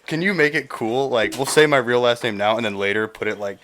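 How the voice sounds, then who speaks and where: A man's voice: mid-range voice, a little fast, fairly bright tone. A young American man chatting casually as a podcast guest, rambling through stories with joking, profane slang. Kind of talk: casual chat, rambling storytelling.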